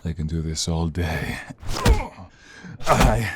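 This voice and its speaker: A man's voice: rumbling low, expressive, slow, heavy background noise, exaggerated voice. A man playing a defiant, wounded mobster who protects the woman he loves, strained and emphatic in an audio roleplay. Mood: defiant scorn, then desperate fury. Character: defiant, wounded young male mobster protecting his girlfriend. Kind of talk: audio roleplay drama acting.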